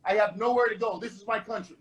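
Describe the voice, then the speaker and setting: A middle-aged man from the Bahamas making forceful, preachy points in a heated panel debate, repeating himself for emphasis. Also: high voice, fast.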